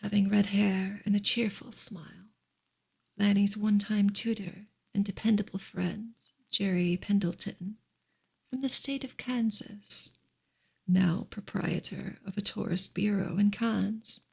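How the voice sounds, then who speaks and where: A woman reads narrative prose in a soft, intimate ASMR style. Her voice is heavily breathy and thick with creak, sitting at an average pitch. The delivery is gentle and hushed, often dropping into a whisper. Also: fairly even intonation, a little slow. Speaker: ASMR reader. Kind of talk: soft ASMR audiobook reading, partly whispered.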